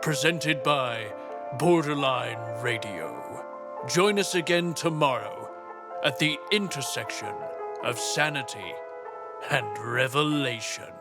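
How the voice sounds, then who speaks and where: A man's voice: mid-range voice, lively intonation, slow. A man delivering a dramatic, scripted outro as the announcer of a show. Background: loud music.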